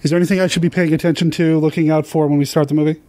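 A man's voice: on the high side, flat delivery, rapid-fire, mellow. A man hosting a podcast in a conversational, informal way. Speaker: podcast host.

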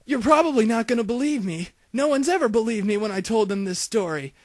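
Southern US small-town man, male actor, parody cartoon: high, theatrical, folksy, dry close sound. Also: expressive, brisk, a little mellow, dry recording. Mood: rueful storytelling. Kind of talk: parody cartoon dub acting.

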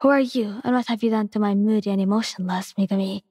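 Woman doing a soft fond lover, teasing in a gentle way, kinda breathy, roomy reverb. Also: medium pitch, fairly even intonation, fast, studio quality, close to an everyday voice. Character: affectionate teasing lover, adult.

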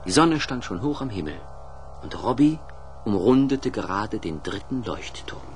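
A man narrating a scripted children's story in a clearly phrased storytelling manner. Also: fairly low pitch, sing-song, normal speed, loud music behind the voice.

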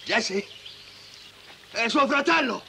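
A man's voice: very high voice, expressive, slow pace, slight background noise, heavily acted. A man playing a wounded, armed man in a dramatic film dub, calling out curt, strained commands. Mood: exasperated. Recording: loud film music underneath.

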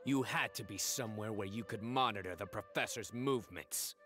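An actor playing a stern young male investigator in a video game, speaking calmly and deliberately. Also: on the low side, soft background music. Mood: deducing. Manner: calm, cold, deliberate deduction.

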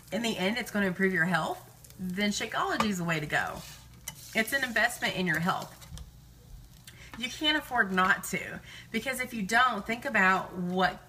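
Bright-voiced chatty woman vlogger. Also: mid-range voice, slight background noise. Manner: chatty, digressive, informal. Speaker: live-streaming vlogger and meal-prep host. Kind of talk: casual rambling chat to live viewers. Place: home kitchen, indoors.

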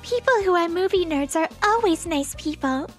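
A woman voicing a very high, girlish young actress. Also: animated, moderate pace, faint music behind. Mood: playful. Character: young woman actress posing as lunch lady. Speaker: actress character. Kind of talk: manga dub voice acting.

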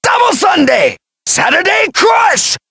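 A man voicing an arrogant, vicious alien warrior villain in an anime fighting game, gravelly and raspy, sneering and taunting as he shouts. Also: high voice, wildly swooping pitch, slow, heavy background noise, full character voice. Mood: furious shouting. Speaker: video game character voice actor.

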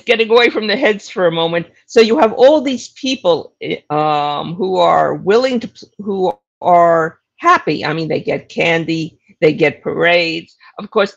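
A woman's voice: fairly low pitch, normal speed, vocal fry, few pauses. An older woman moderating a casual live interview from indoors over a video call with slightly dull audio.

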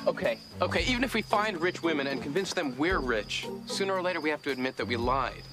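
An actor playing an anxious, pessimistic teacher in a film comedy, speaking with dry sarcasm. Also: male voice, fairly high pitch, animated, quick pace, music in the background, close to an everyday voice. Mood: dry, sarcastic.

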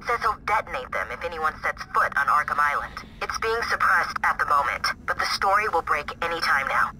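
A woman's voice: deep voice, animated, brisk, bright, forceful tone, slight background noise, heavily acted, rough recording. A woman playing an alert, businesslike intelligence contact in a video game, urgent and matter-of-fact over a radio link. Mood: urgent briefing.

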